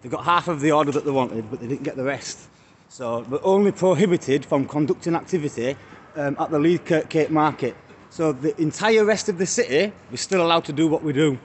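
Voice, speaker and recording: British street vlogger in his thirties, high light voice, chatty and mocking, phone at arm's length outdoors. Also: a little fast. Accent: British English. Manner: chatty, addresses viewers as guys, mocking jabs. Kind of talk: walking selfie vlog chat. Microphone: phone held at arm's length. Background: faint street traffic.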